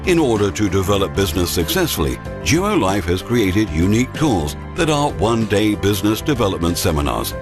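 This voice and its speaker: A man's voice: fairly low pitch, sing-song, fairly quick, hardly any pauses. Formal man narrating over music. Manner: formal, promotional. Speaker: corporate presentation narrator. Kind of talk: scripted corporate narration. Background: background music.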